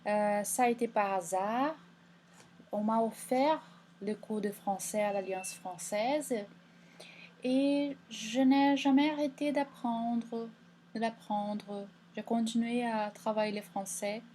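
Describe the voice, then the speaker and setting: A Brazilian woman university professor introducing herself in French with a Brazilian accent, relaxed and a little hesitant. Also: fairly high pitch, slow pace, a little hiss or hum. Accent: Brazilian Portuguese. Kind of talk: self-introduction.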